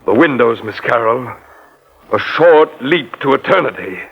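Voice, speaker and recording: An actor playing a mad, murderous doctor and bitterly jealous husband in a menacing, melodramatic 1940s radio style. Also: male voice, mid-range voice, lively intonation, a little slow, breathy edge. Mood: cold menace. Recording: muffled vintage 1940s radio recording.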